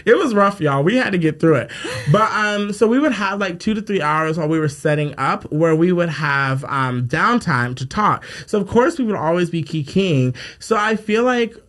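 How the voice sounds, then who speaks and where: A man in his twenties from Japan hosting a podcast as a casual chat, telling stories in an animated, slangy, playful way. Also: high-pitched, normal speed. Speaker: podcast host.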